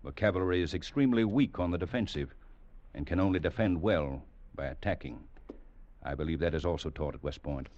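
An actor playing a stern, middle-aged cavalry captain in a 1940s radio drama, speaking in a clipped, formal, lecturing manner. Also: male voice, low voice, fairly quick, darkish tone, natural voice. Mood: grave, commanding. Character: stern, exacting veteran cavalry captain, grey and tired. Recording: dull vintage radio recording.

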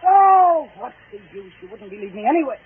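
Very high-pitched distraught accused wife. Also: female voice, very animated, fairly quick. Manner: pleading, overwrought. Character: distraught wife accused of murdering her husband. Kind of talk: melodramatic 1940s radio acting. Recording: tinny, faintly noisy old radio recording.

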